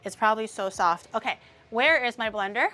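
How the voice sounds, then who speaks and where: A woman's voice: a little high, a little fast, sharp tone. A woman VTuber chatting casually while cooking in her home kitchen, blunt and profane, murmuring as she tastes the food. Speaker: streamer and VTuber. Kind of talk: casual cooking livestream chat.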